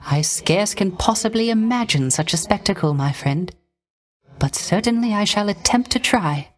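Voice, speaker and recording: A gentle, sorrow-tinged male musician from a video game. The voice is light and rather high for a man, delivered softly and with genteel care. Every phrase is formal, theatrical and ornately polite, carrying a wistful devotion. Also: expressive, moderate pace. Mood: courteous encouragement. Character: gentle, melancholy court musician, devoted and courteous.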